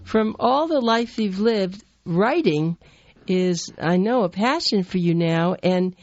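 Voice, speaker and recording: A woman's voice: mid-range voice, lively intonation, slow pace, darkish tone, no echo, lo-fi sound. A woman hosting an interview show, gracious and full of praise for her guest. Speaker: talk show host.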